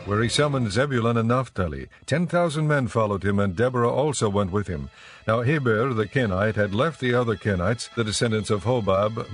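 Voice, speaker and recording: A man reading as the narrator of a scripted, dramatized scripture audiobook. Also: lowish voice.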